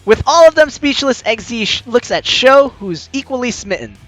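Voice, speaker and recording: A man playing a visual novel, reading the text aloud with excitable, joking reactions and the odd stumble, over music. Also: very high-pitched, moderate pace, poor audio. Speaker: let's player. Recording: music behind the voice.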